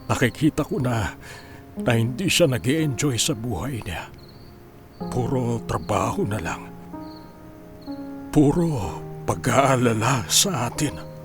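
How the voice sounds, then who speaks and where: An actor playing a guilt-ridden, ailing Filipino father in a radio drama, halting and heavy-hearted. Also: medium pitch, animated. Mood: sorrowful, regretful. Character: guilt-ridden, ailing father grieving his family's hardship. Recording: music behind the voice.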